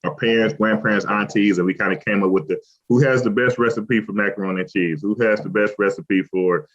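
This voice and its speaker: Low-voiced man, easygoing restaurant owner. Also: fairly quick. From Cleveland. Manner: relaxed, rambling, many fillers. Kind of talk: casual interview talk. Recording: lo-fi muffled audio.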